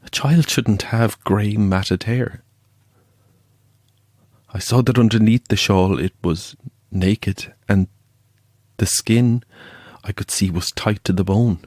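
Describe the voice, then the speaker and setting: Haunted storyteller, audio drama, deliberate suspenseful pauses, very slow, close dry sound. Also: male voice, fairly low pitch, darkish tone, no echo. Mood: dread. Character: haunted male paranormal believer recounting a chilling encounter.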